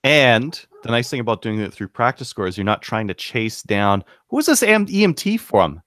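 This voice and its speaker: A man's voice: mid-range voice, very animated, normal speed, a bit bright, no room sound, studio quality. A man co-hosting a podcast, chatting casually. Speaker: podcast co-host. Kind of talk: casual chat.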